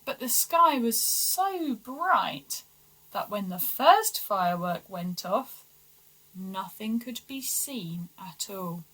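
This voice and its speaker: A woman reading a children's storybook aloud with expressive, deliberate, dramatic emphasis. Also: medium pitch, a little hiss or hum, low-quality recording. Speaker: story reader for schoolchildren.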